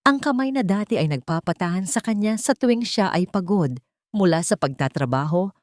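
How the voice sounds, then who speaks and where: Female audiobook narrator, lowish pitch, solemn melodramatic storytelling, dry sound. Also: expressive, a little fast, darkish tone, dry recording. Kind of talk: dramatic scripted story narration.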